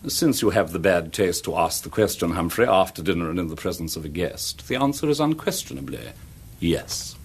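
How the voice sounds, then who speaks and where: A man's voice: deep voice, lively intonation, fairly quick, husky, heavily acted. A man playing an urbane, self-assured middle-aged English college principal in a radio drama, with a suave, donnish, rhetorical manner. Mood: suave, pontificating.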